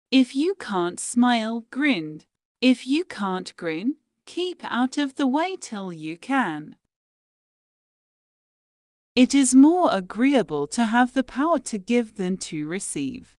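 A woman reading quotations aloud in a slow, measured, deliberate way, pausing between phrases. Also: on the high side, sing-song, a little mellow, no echo, studio quality. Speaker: quote reader. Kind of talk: slow scripted quote reading.

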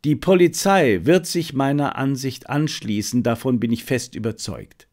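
A man voicing a confident, methodical detective who lays out his reasoning deliberately and assertively in an audiobook. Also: medium pitch, darkish tone, close to an everyday voice. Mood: assured, explaining. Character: confident, methodical male detective explaining a solved case. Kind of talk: dramatised audiobook reading.

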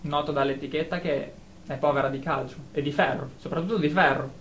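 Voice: mid-range voice, steady tone, brisk, slight background noise, close to an everyday voice. An actor playing a sixteen-year-old delusional boy in a radio drama, earnest, hesitant and defensively stubborn. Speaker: therapy patient character.

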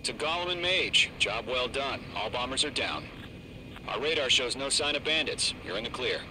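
An actor playing a composed air controller reporting to pilots over military radio in a combat flight game. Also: male voice, mid-range voice, expressive, moderate pace, noisy background. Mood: composed, approving.

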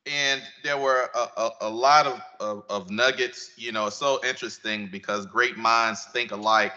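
A man's voice: mid-range voice, fairly even intonation, moderate pace, pushed and bright, dull audio. A man hosting a university event from a podium microphone in a lecture hall, speaking hesitantly and repeating words.

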